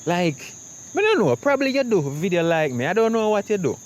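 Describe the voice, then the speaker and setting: Jamaican man vlogging, slightly high voice. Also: sing-song, a little fast. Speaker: YouTube vlogger. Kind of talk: casual chat to camera.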